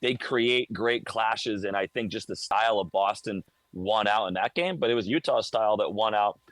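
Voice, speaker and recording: A man's voice: lowish voice, moderate pace, fairly bright tone, dry recording. A man talking casually as a hockey radio announcer, explaining things conversationally in a live game-day report. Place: high broadcast booth inside a hockey arena.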